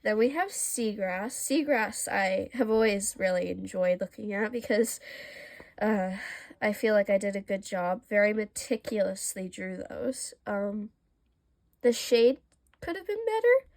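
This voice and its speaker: A woman's voice: mid-range voice, lively intonation, unhurried, darkish tone. Congested young woman, chatty sketchbook tour. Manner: chatty, self-deprecating, lots of fillers. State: congested from allergies. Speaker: art vlogger.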